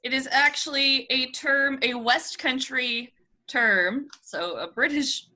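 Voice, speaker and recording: A woman hosts a comedy panel game from home over a video call. Her voice is bright and clear-edged, and she speaks at a slow, measured pace. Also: sits high. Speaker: game show host. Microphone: video call microphone.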